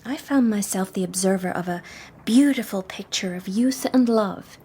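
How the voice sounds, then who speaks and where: An actress playing a poised, sharp-witted young socialite who works secretly as a detective, composed and arch in a radio drama. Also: female voice, mid-range voice, normal speed, slight background noise, natural voice. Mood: composed, guarded. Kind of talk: audio drama acting.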